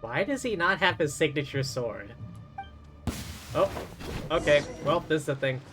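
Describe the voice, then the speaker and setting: A man's voice: a little high, lively intonation, unhurried, music in the background. A man giving casual live commentary as he streams a video game.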